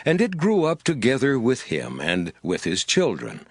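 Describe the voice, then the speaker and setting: An actor playing a stern, grave Hebrew prophet, declaiming solemnly in a dramatized Bible audiobook. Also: male voice, medium pitch, wildly swooping pitch, normal speed, dry recording. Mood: grave storytelling.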